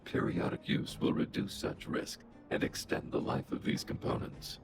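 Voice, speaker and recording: An actor voicing a huge, calm underworld robot boss who speaks in flat, clipped, computer-like reports through a robotic filter. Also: male voice, normal speed. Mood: flat computing. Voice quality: deep, gravelly, processed. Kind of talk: studio video game voice acting. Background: game music.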